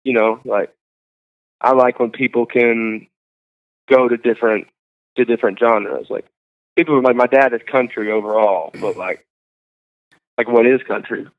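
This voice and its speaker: A young man, a singer-songwriter guest, chatting casually in an interview, halting and full of fillers. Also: mid-range voice, steady tone. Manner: halting, full of likes and ums. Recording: tinny phone-like sound.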